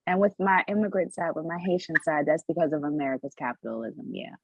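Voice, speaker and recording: Husky young woman, earnest podcast talk. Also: deep voice, expressive, fast, no room sound, low-quality recording. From United States. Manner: earnest, repeats words while finding her point. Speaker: podcast co-host. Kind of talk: casual discussion.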